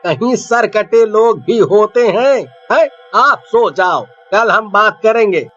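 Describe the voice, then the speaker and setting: A man's voice: high voice, animated, slow, a bit bright, full character voice. A man voicing worried village men in a horror cartoon, with dramatic, pleading colloquial speech on a muffled recording. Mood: uneasy. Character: frightened village men in a haunted tale. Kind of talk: Hindi horror cartoon character acting. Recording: muffled lo-fi track with music.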